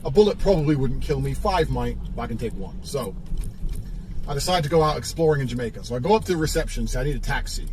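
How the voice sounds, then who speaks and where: A man's voice: mid-range voice, expressive, fast, a little mellow, slight background noise, poor audio. A man telling a crude, profane story in a swaggering, casual monologue.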